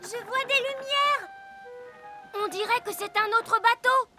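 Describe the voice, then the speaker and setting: A wide-eyed, enthusiastic little child of a magical cartoon kingdom. The voice is extremely high and eager, over loud music. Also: measured pace. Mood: curious. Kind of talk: animated children's cartoon dub.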